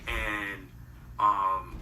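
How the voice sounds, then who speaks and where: A man's voice: fairly low pitch, flat delivery, drawn-out words, sharp tone, roomy sound, recorded from a distance, a little hiss or hum. A man in his thirties from Connecticut, telling his story in a rambling, casual way full of slang. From Connecticut, USA. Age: 30s. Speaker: guest. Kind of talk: casual interview.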